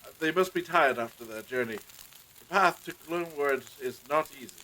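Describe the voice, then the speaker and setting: Man as a genteel, higher-voiced gentleman householder. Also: normal speed, a little hiss or hum, poor audio. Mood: gracious, formal. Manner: formal, apologetic, polite. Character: courteous, genteel master of a period household. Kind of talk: period audio drama acting.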